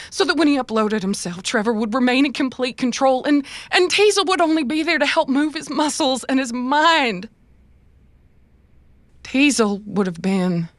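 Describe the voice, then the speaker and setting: Actress playing sad pleading woman, halting, repeats herself, justifying her scheme, very dry close sound, cyberpunk drama. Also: a little high, no echo, close to an everyday voice. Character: sorrowful, self-justifying woman scheming out of devotion. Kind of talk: cyberpunk audio drama acting.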